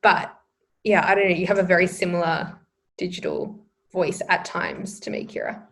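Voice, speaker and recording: A young Australian woman with an Australian accent, co-hosting a casual podcast chat, chatty and quick to laugh. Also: fairly low pitch, level tone, slight background noise. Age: young adult. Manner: chatty, laughs often, self-deprecating. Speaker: podcast co-host.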